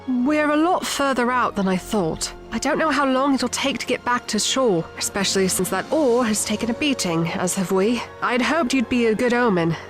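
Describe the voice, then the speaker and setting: An actress playing a practical, wary boat-handler in an audio drama, cautious and downbeat in manner. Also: female voice, a little high, moderate pace, soft background music, lightly acted. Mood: worried, practical.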